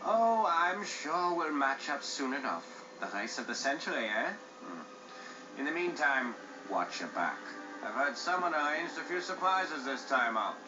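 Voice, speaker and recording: A man's voice: fairly high pitch, very animated, unhurried. A man voicing a smug, mock-polite underworld racer with theatrical menace in a video game cutscene. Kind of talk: animated game cutscene villain acting. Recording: dull game audio with music behind.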